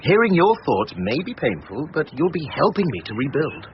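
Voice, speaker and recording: Earnest, fussy son-in-law, animated pitch. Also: male voice, normal speed, dull audio, background noise. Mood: earnest, soulful. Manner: earnest therapy-speak, fussy and hesitant. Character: earnest, anxious, touchy-feely son-in-law. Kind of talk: radio drama dialogue.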